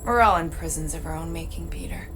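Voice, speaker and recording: A woman's voice: deep voice, quick pace, fairly bright tone, soft background music. A woman playing a serious, composed warrior in a video game cutscene.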